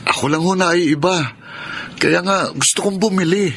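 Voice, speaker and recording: An actor playing an eager, pleading young son in a Filipino radio drama. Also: male voice, sits high, normal speed, gravelly, fairly bright tone, a little hiss or hum. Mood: coaxing.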